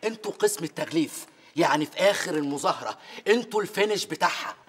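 A man's voice: fairly high pitch, lively intonation, fast, a bit bright, a little hiss or hum. A man playing a factory foreman giving rallying orders, in an Egyptian accent. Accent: Egyptian Arabic. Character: rousing male factory foreman, scheming.

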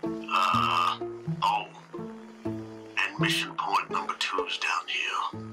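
Slow, low-voiced man under loud guitar music. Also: flat delivery, whispered. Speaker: player in a tabletop game. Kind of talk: casual in-game description.

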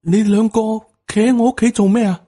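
A man's voice: sits high, fairly even intonation, a little fast, mellow, pitched above the performer's own voice. A man voicing a wary, easily startled young village mother in an audiobook, questioning and suspicious, with a Hong Kong Cantonese accent.

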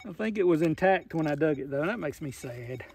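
A woman's voice: low-pitched, lively intonation, a little fast, warm tone. A woman with a Southern US accent chatting casually and in a folksy way to viewers while digging outdoors. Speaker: metal detecting vlogger. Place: outdoors on an old farm. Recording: outdoor camera audio with noise.

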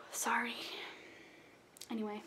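A woman's voice: on the high side, monotone, slow, noisy background. Chatty young woman rambling at a webcam. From South Florida. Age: young adult. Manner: rambling, self-deprecating, chatty. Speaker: vlogger. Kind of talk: casual rambling intro vlog. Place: small bedroom indoors. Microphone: webcam at close range.

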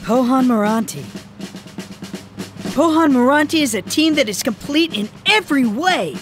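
Earnest high-pitched cartoon boy footballer. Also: expressive, moderate pace. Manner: explanatory, earnest. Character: earnest boy footballer briefing his teammates. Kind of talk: animated cartoon dialogue. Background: background music.

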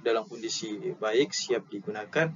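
A young man with an Indonesian accent giving an informal slide lesson as an instructor, explaining haltingly and repeating key terms into a headset. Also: fairly low pitch. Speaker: maritime safety instructor. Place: indoor room. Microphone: headset at a computer. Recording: dull lo-fi audio with clear background noise.

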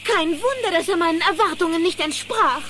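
A woman playing an earnest, excitable, anxious heroine in a children's cartoon audio drama. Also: very high-pitched, fairly quick, over loud music.

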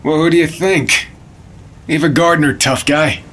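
Man playing a husky, wisecracking agent. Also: fairly high pitch, steady tone, unhurried, fairly bright tone. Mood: wry, teasing. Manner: teasing, wry. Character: wisecracking, grumbling senior FBI partner, 1950s. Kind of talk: radio drama acting.

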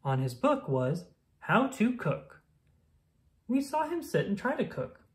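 A woman reading a rhyming children's picture book aloud in an expressive, singsong storytelling style. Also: medium pitch, a little slow.

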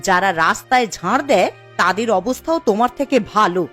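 A woman's voice: mid-range voice, lively intonation, moderate pace, a bit bright, soft background music. A woman playing an emotional village wife in a fairy-tale cartoon, with a dramatic, emphatic delivery. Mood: scornful, angry. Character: emotional village wife, by turns scolding and tender.

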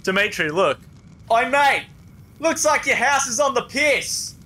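A man playing a crude, dim, excitable young bloke in a cartoon, with an Australian accent and rambling, slangy talk. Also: mid-range voice, fairly quick, poor audio. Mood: excited.

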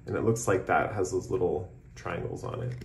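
A man's voice: low-pitched, a little fast, vocal fry, slight background noise. Man giving a tarot reading in a roomy space. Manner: rambling, hedging with frequent "like" and "kind of". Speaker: tarot reader, host. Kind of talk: casual, improvised tarot reading to camera. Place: indoors at a tabletop, off camera. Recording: roomy, echoing room sound.

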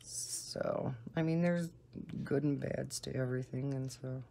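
A woman with a Northern American accent and a low, soft voice, chatting casually and unhurriedly. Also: fairly even intonation, creaky, a little hiss or hum. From northern United States. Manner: unhurried, deliberately slow talking. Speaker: coloring video host. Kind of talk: casual rambling chat while coloring.

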